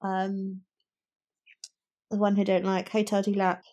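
A woman chats casually about books as a podcast co-host. Her voice sits at an average female pitch. She is reflective and tentative, working out her opinion as she speaks. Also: monotone, takes it slowly, warm tone, lo-fi sound. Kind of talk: casual book discussion.

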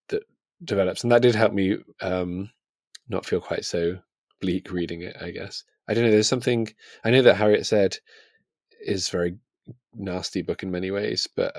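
Male podcast co-host, low voice, close dry sound, musing hesitantly about fiction with frequent softening of his opinions. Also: dry recording. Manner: tentative, hedging, trailing off. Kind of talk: conversational book discussion.

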